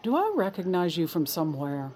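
A woman's voice: fairly low pitch, very animated, a little fast, a little mellow. A woman playing a guarded rodeo worker who questions an intruder in an audio drama. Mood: suspicious. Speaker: actor in audio fiction.

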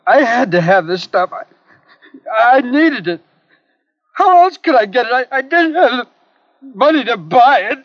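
A panicked young robber in a 1950s radio play, stammering and begging as the police close in. His voice sits very high and close, with a dry, dull old radio sound. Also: big pitch swings, a little slow, pauses often, no echo, heavily acted. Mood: pleading. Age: 20s. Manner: stammering, pleading. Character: frightened young male robber caught by police. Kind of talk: 1950s radio drama acting. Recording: muffled old radio recording.

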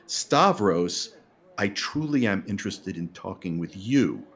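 An actor playing a fawning male theater critic who interviews with gushing, insinuating flattery. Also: deep voice, measured pace, exaggerated voice.

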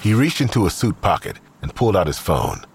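A man narrating an audio drama as a sober storyteller, with a raspy voice. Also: low voice, sing-song, a little fast, full character voice. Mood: sober, descriptive.

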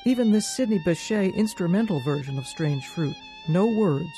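A woman's voice: lowish voice, expressive, normal speed, warm tone. A woman presenting a scripted radio documentary as host and narrator. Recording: music playing underneath. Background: music.

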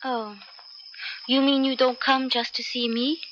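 An eager young village girl in a 1970s radio drama, speaking broken English. Her voice is high and bright, fond with a jealous edge. The broadcast sounds dull and old. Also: flat delivery, unhurried, slight background noise. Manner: simple broken English as a foreigner.

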